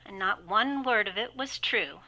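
An actress playing a curt, haughty socialite with a cool, clipped manner in a radio drama. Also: female voice, mid-range voice, lively intonation, measured pace, sharp tone, full character voice, rough recording. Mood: cool, urgent. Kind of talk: old-time radio drama acting.

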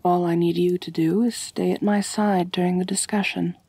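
A woman playing an elegant, doting, gently dominant vampire mistress in an intimate ASMR roleplay, coaxing in a soft, genteel voice close to the microphone. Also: on the low side, level tone, no room sound. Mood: reassuring, poised. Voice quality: soft, breathy, husky. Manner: slow, coaxing, genteel, purring endearments. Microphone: close, dry microphone.

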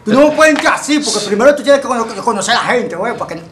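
A man's voice: very high-pitched, lively intonation, rapid-fire, fairly bright tone, roomy sound, a little hiss or hum. A man playing a boastful Dominican street tough. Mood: swaggering. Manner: rambling, boastful, mumbly. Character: boastful tough-guy male street thug customer. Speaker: customer in comedy sketch.

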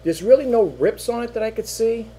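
A man's voice: high voice, animated, fairly quick, warm tone, breathy edge. A middle-aged man casually showing items he is selling online from a small home office, rambling with many fillers and repeats, his voice wearing thin. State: losing his voice. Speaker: online seller. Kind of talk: casual product walkthrough. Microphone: webcam at desk.

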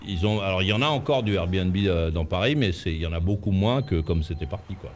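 A man's voice: low-pitched, animated, fast talker, a little hiss or hum. A man hosting a late-night radio call-in show, slangy and easygoing. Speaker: radio host. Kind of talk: casual radio chat. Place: radio studio.

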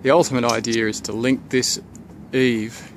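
A man, thinking aloud at an unhurried pace as he walks around his house and plans renovation work, pausing often with ums and restarts. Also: medium pitch, little pitch movement, background noise. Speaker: homeowner giving a renovation tour. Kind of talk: casual thinking-aloud walkthrough. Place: outdoors around a house, under a deck and in a garden. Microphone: handheld camera held by the speaker.

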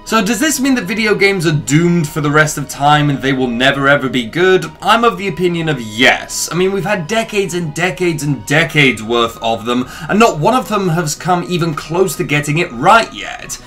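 A man's voice: fairly high pitch, animated, normal speed, hardly any pauses, fairly bright tone. A young man narrating a scripted, opinionated video essay as its host, in an emphatic, rhetorical manner. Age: young adult. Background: background music.

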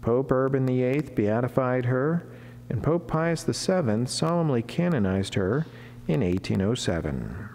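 A middle-aged man, a friar, reads a devotional sermon in a formal, measured way with deliberate pauses, speaking at a lectern microphone in a chapel. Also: medium pitch, animated, a little mellow. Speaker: Franciscan friar giving a daily saint reflection. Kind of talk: solemn read sermon, devotional reading. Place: wood-panelled chapel, indoors, at a lectern. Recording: long church reverb, clear background noise, hum.

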